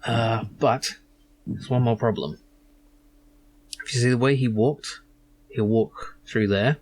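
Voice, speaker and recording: A man's voice: mid-range voice, slow, a little mellow, rough recording. A man giving casual commentary on a game he is playing, musing and a little hesitant as he goes. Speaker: gaming video host.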